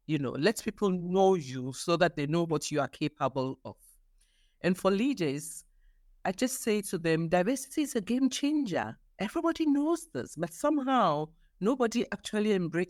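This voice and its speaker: Woman, 60s, from Ghana, guest author; measured and earnest, restarts words, dry close sound. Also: fairly low pitch, no room sound. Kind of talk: podcast interview.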